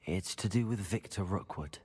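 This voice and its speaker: An actor playing an earnest, steady young wizard student, speaking plainly in a video game. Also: male voice, low voice, moderate pace, breathy edge. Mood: grave, earnest. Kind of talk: dramatic dialogue, video game acting. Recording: reverberant hall, music behind.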